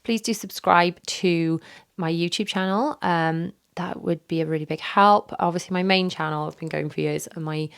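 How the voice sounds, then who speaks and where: A hoarse woman in her thirties talks straight into a microphone in her home office, rambling candidly with plenty of fillers. Her voice sits low and rough with a gravelly fry, and she sounds worn out from being ill. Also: fairly even intonation, moderate pace. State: hoarse, recovering from illness. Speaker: podcast host. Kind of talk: casual solo podcast chat. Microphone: studio microphone.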